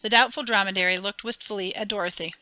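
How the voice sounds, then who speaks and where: A woman reading a storybook aloud in an expressive, dramatic way, close to the mic. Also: medium pitch, fast talker, no echo. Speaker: audiobook narrator.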